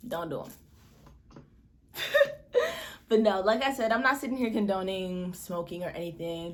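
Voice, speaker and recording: A woman in her twenties from Malawi, telling a story in a casual, chatty vlog and acting out the quoted lines. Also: slight background noise. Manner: chatty, expressive, acts out quotes with pitch jumps. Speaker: vlogger.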